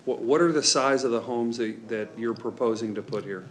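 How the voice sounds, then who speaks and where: Council guy asking questions, measured and polite, faint hum. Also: medium pitch. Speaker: council member. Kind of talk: questioning an applicant.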